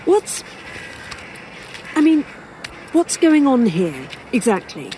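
A woman's voice: on the high side, very animated, slow, mellow, a little hiss or hum, low-quality recording. An actress playing a weary, sardonic human woman in an audio drama, with dry sarcasm and some hesitation. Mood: hesitant, bewildered. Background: outdoor ambience, crows, vehicles.

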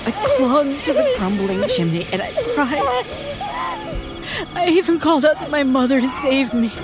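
Frightened young woman survivor, high voice, halting horror-drama monologue over prominent music. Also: big pitch swings. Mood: haunted, despairing. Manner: halting, haunted, with stammered repetitions. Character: terrified young female survivor recounting a horror.

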